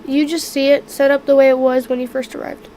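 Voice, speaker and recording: Female game master, high pitch, unhurried, losing her voice to illness, throat-clearing, faint background noise. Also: little pitch movement, warm tone. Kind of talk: narrating a tabletop game live on stream.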